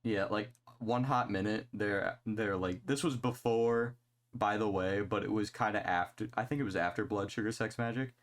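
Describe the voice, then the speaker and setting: Young guy, lowish voice, casual podcast co-host, swears freely, laughs easily. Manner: loose, swearing, quick to laugh. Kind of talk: casual chat.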